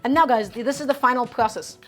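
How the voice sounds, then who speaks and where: A woman's voice: on the high side, quick pace, fairly bright tone, faint music behind. A woman on a live cooking stream, talking to chat step by step in an upbeat way and often saying "guys" and "okay". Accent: her own distinct accent, not Brooklyn.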